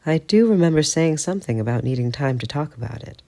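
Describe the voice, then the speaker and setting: A man putting on a calm, wry mother. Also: fast talker. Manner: calm, casual, slightly higher put-on voice. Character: composed, wry middle-aged mother and guardian.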